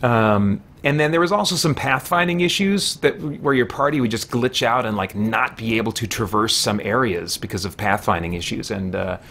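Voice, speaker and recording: A man's voice: fairly low pitch, normal speed, hardly any pauses, sharp tone, a little hiss or hum. A middle-aged man chatting casually and thinking aloud as he livestreams video games from a small room at home. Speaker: video game streamer. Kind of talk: casual unscripted livestream chat.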